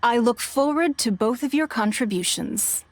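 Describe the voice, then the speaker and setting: An actress playing a poised, authoritative noblewoman acting as judge in a game cutscene, with a measured, formal delivery. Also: on the high side, animated, faint music behind. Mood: composed.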